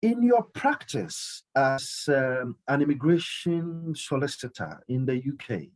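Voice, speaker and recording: A man's voice: medium pitch, sing-song, slow pace. A man hosting a live call-in radio show, talking emphatically and often repeating his phrases. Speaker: radio host.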